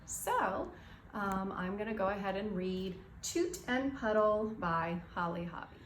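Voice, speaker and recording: A woman's voice: mid-range voice, expressive, unhurried, echoey room, slight background noise. A woman speaking informally as an elementary school teacher, chatting and reading a storybook aloud to children.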